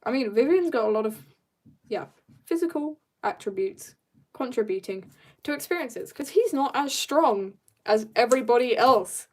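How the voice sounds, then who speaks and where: A woman's voice: on the high side, lively intonation, normal speed, darkish tone. A young woman chatting casually on an art livestream, rambling and slangy as she jumps between asides in a giggly way. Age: teenager or young adult. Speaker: streamer drawing and chatting.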